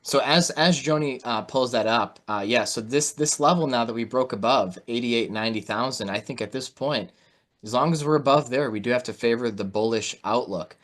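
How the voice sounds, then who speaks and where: A man's voice: medium pitch, moderate pace, a bit bright. A man hosting an online interview, giving informal, conversational market commentary peppered with "you know". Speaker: host and market analyst. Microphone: video call.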